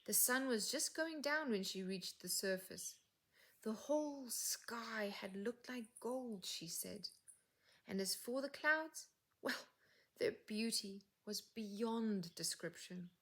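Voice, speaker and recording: A woman reading a fairytale aloud as a storyteller, with deliberate, expressive phrasing and soft, breathy word endings. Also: fairly high pitch. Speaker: English teacher reading stories.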